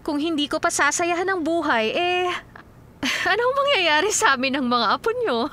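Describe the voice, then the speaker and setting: A woman's voice: very high-pitched, animated, moderate pace, bright, forceful tone, exaggerated voice. A woman playing a devoted, hardworking young wife in a radio drama, speaking in an upbeat, respectful manner. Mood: cheerful, reassuring. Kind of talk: Filipino radio drama acting.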